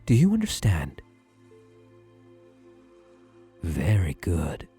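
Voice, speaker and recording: A man playing a possessive, doting therapist in an ASMR roleplay, speaking in a soothing, deliberate, hushed way with breathy murmurs. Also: low voice, huge pitch swings, soft background music, full character voice. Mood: calm, controlling. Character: possessive male therapist, softly controlling, doting and obsessive.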